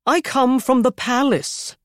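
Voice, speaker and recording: A woman's voice: high-pitched, unhurried, no echo, studio quality, pitched above the performer's own voice. A woman voicing a haughty male palace butler who speaks in a pompous, clipped and commanding way.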